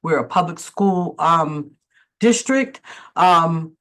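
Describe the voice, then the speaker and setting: A woman who is a school district administrator, answering questions in a webinar panel and pausing often with fillers and restarts. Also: on the low side, animated, a bit bright. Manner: halting, many fillers and restarts.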